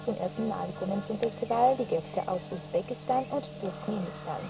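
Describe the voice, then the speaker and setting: A female newsreader has a gravelly, creaky edge to a mid-range voice. She sounds far off in noisy shortwave reception. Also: medium pitch, a little fast. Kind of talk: scripted news reading.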